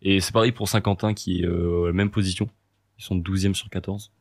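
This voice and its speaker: Deep-voiced hesitant young man on radio. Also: steady tone, a little fast, breathy edge. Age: young adult. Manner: hesitant, many fillers. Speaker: sports columnist. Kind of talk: radio panel chat.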